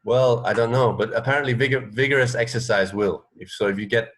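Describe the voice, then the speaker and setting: A middle-aged man co-hosting a radio show on a video call, talking casually with halting phrasing. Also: medium pitch, a bit bright. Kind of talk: casual chat. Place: indoor room.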